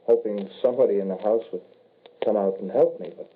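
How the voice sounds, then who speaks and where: An actor playing a grave, regretful young soldier turned werewolf in a halting, somber 1940s radio horror style. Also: male voice, lowish voice, husky. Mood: haunted, somber. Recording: noisy, tinny old-time radio recording.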